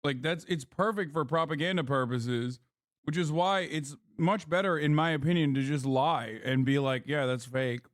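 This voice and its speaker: A man's voice: a little high, normal speed, no room sound. Profane male streamer, dry close sound. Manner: profane, mocking, slangy. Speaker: streamer reacting to a news clip. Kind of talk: casual live commentary.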